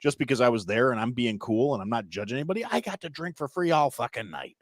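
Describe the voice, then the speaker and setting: A man in his thirties from Wisconsin, chatting as a podcast guest. He tells long, rambling stories and keeps jumping into other people's voices with big swings in pitch. His voice sits at a middle pitch on a very dry, close mic. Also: sing-song, fast, no room sound. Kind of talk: casual chat.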